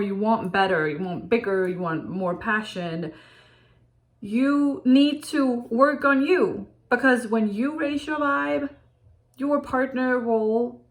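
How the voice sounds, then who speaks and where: A Danish-accented woman, a relationship coach, talks straight to her audience at a leisurely pace. Her delivery is earnest and searching, full of "um"s and restarted sentences. English is not her first language, and it shows in her phrasing. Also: medium pitch. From Denmark. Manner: unhurried, earnest, many fillers and restarts. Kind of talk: direct-to-camera talk to viewers.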